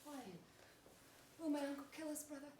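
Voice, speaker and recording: A woman's voice: high-pitched, little pitch movement, moderate pace, dark tone, lightly acted. A woman playing a tender, tearful little royal child in a Shakespearean stage play, plaintive and childlike. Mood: tearful, innocent. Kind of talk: classical Shakespearean stage acting. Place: large theater stage with seated audience. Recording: very echoey, noisy theater, far off.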